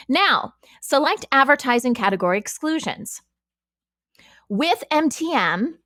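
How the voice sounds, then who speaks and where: A woman giving an informal, explanatory software walkthrough, talking conversationally and often checking in with the listener. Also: fairly high pitch, dry recording, studio quality. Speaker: podcast network host giving a tutorial. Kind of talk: screen-share software walkthrough, informal instruction.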